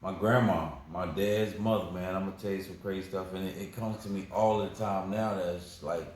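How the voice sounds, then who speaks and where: A man's voice: deep voice, fairly even intonation, moderate pace, a little mellow. A man in his forties telling his life story in a casual interview, rambling and repeating words, proud when he talks about his family. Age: 40s. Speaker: guest. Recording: very echoey room with faint noise.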